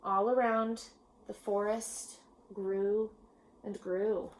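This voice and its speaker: A woman reading a children's picture book aloud in a measured, expressive storytelling manner with pauses. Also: medium pitch, slight background noise, poor audio. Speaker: storybook reader. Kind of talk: children's read-aloud narration.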